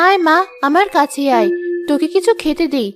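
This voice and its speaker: A caring village wife in a children's cartoon. Her voice is high, motherly and a bit nagging, with music clearly underneath. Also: animated, fairly quick. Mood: tender, motherly.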